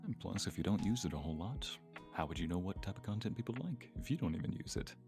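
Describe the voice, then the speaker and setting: A man's voice: deep voice, lively intonation, fast talker, creaky. A man chatting casually as a guest voice actor on a livestream, with a playful, folksy storytelling manner. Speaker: voice actor guest on a live stream. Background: guitar music.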